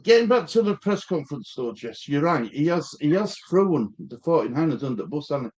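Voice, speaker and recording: A man's voice: a little high, big pitch swings, brisk, a little mellow. A man co-hosting a casual football chat, talking in an easygoing, agreeable way. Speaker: co-host.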